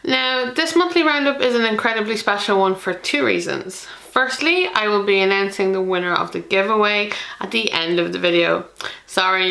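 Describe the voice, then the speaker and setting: A woman's voice: medium pitch, bright, forceful tone, reverberant, low-quality recording. A woman from Ireland with an Irish accent, hosting a board game channel in a chatty, self-deprecating way with many ums. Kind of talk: chatty solo video to camera.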